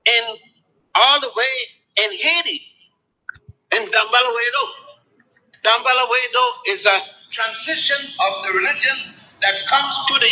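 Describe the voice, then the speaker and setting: Emphatic high-pitched male lecturer. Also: sharp tone, poor audio. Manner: deliberate, emphatic, pitch drops at phrase ends. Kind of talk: spoken lecture.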